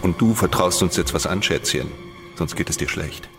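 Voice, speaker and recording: An actor playing a cold, formal, threatening interrogator in a German radio drama, heard at some distance from the microphone. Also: male voice, low voice, normal speed, music in the background.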